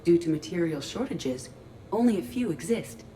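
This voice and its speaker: Game role, woman acting curious literal female AI. Lowish voice, precise formal phrasing, dry delivery, noisy ambience. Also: animated, normal speed, mellow, lightly acted. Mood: formal, earnest. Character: female ship artificial intelligence in android body, curious, literal, earnest. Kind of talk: video game dialogue acting.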